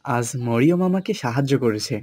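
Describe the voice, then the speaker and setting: A man playing a gentle, reassuring, soft-spoken father in a cartoon. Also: mid-range voice, animated, fairly quick, no echo, clean studio sound, close to an everyday voice. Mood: kindly.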